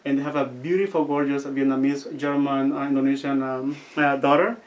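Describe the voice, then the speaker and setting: A young man with a Guatemalan accent, telling stories as an interview guest and often pausing to search for his words. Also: medium pitch, level tone. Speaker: seminarian guest. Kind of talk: casual interview storytelling. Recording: distant from the mic.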